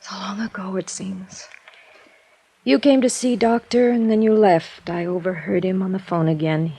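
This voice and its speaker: An American actress playing a resigned, lovesick nurse in an old radio drama, speaking in a wistful, halting way. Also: female voice, mid-range voice, fairly even intonation, dark tone, husky. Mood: pained, tense. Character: heartbroken nurse confessing, resigned, lovesick woman. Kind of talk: 1950s radio drama acting. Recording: vintage radio recording.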